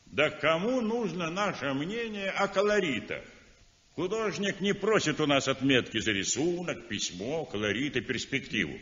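An actor playing an outspoken art critic in a 1960s radio drama, sardonic and declamatory. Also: male voice, on the high side, animated, moderate pace, a bit bright. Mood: scornful. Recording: dull old radio recording in a roomy hall.

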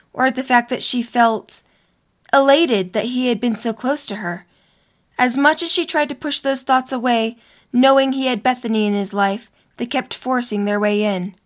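Slightly high-voiced young woman narrator. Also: normal speed. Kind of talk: scripted story narration.

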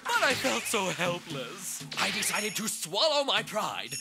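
A woman voicing a vain, excitable young man in an English anime dub, with a theatrical, pompous, blustering delivery. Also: mid-range voice, measured pace, loud music behind the voice. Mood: indignant, self-important.

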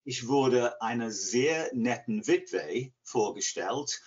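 Older man, English accent, non-native speaker, very slow halting delivery, dull video-call sound. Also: mid-range voice, expressive, slightly rough. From England, near Liverpool. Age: 60s. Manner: halting, searching for words. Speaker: interview guest, language learner. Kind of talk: conversational interview answers. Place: living room at home. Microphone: computer mic on video call.

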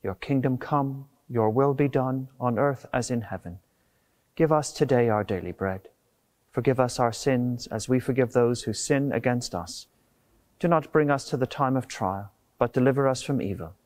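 A middle-aged man with a medium-pitched voice leads a prayer as deacon. His recitation is even and reverent, spoken into a lapel mic in church. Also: normal speed. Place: inside a stone church beside a lectern.

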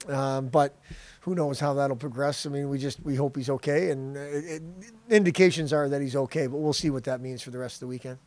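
A middle-aged man, a head hockey coach, answering at a post-game press conference, halting and repeating words but blunt. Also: medium pitch, steady tone, darkish tone. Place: press room backdrop. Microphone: podium mic.

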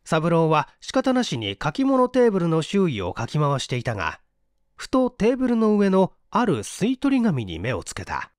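A man's voice: on the high side, wildly swooping pitch, moderate pace, darkish tone, dry recording, studio quality. A man reading a mystery story aloud as scripted, dramatic narration. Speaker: audiobook narrator.

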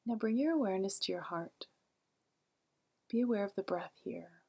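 A woman with a medium-pitched, airy voice guides a meditation. Her delivery is gentle and soothing, at times close to a whisper, and she leaves generous space between her calm, unhurried phrases. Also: creaky, breathy edge.

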